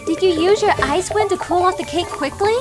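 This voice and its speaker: Sweet little fairy creature, extremely high pitch, bubbly, dainty, cartoon show. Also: female voice, brisk. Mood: gently critical. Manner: bubbly, gently critical. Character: sweet, dainty female cream-fairy creature baking judge. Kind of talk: cartoon mock TV baking show. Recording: loud music behind the voice.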